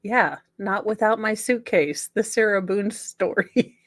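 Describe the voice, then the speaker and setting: A woman's voice: medium pitch, measured pace, no room sound, clean studio sound. A woman hosting a comedic dramatic reading, sarcastic and theatrical, breaking in with mocking, casually sweary asides. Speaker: podcast host.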